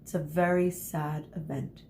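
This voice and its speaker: Measured, genial uncle, read by a woman, faint noise. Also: level tone, dark tone. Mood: gentle, grave. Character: genial Danish fisherman uncle, teasing yet grave. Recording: noisy with low rumble.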